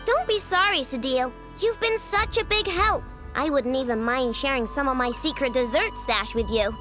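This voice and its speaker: A woman's voice: high voice, normal speed, music in the background, lightly acted. A woman voicing a feisty, loyal little girl inventor in a video game, cute, bold and determined. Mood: gentle reassuring. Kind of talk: animated video game voice acting.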